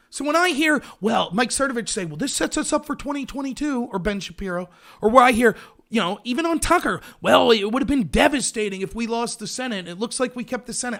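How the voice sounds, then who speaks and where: A man with a strikingly high voice delivers a heated political rant. He leans on sarcasm and rhetorical questions, punching each point with emphasis. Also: a bit bright.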